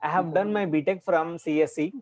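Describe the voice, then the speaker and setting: A man's voice: fairly high pitch, steady tone, fairly quick, darkish tone, no echo. A young man with an Indian English accent, a student giving hesitant answers full of "uh" and "like" over a video call.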